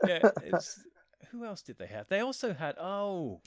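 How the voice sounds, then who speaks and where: A man's voice: sits high, sing-song, a little slow, crowd noise. A man speaking casually as a writer being interviewed, in an informal conversational way. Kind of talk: casual chat.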